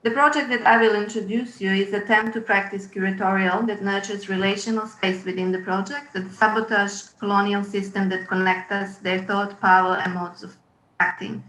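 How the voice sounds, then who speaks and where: A woman's voice: mid-range voice, fairly even intonation, normal speed, a bit bright, echoey room, rough recording. A woman, giving a hesitant webinar presentation as a curator over a video call. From Yugoslavia. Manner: hesitant, with frequent ums and uhs. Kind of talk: webinar presentation, partly read. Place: room at home.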